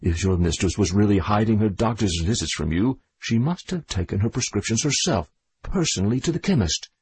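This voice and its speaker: A man's voice: on the low side, animated, darkish tone, breathy edge. A man voicing a stern middle-aged doctor who questions a suspect in a story reading. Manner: stern, measured.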